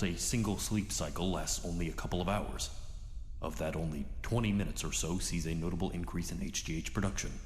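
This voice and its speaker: An actor playing a cold, calculating doctor in a radio drama, measured, clinical and ominous. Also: male voice, deep voice, close to an everyday voice. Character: cold, calculating male doctor running a sinister institute. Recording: echoey reverberant hall, some noise.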